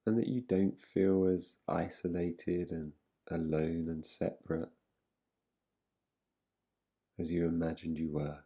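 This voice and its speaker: A man guiding a relaxation meditation in an unhurried, soothing way, giving gentle instructions with long pauses. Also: sits low, dull audio. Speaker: meditation guide. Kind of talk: guided relaxation meditation, yoga nidra.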